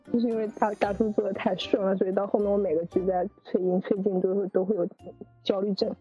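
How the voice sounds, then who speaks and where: Mellow-voiced woman in casual group chat. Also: medium pitch, level tone, brisk. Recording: dull lo-fi voice chat with music.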